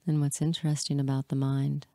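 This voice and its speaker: A woman leading a hypnotic guided meditation in a soothing, measured way, pausing often between short phrases. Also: extremely low pitch, level tone, mellow, no echo, studio quality. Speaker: hypnotist guiding listeners.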